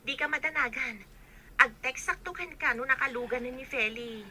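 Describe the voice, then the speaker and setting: A brisk young workmate in a radio drama. Her voice is high and very sharp, with an Ilocano accent and a helpful air. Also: heavily acted. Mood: reassuring.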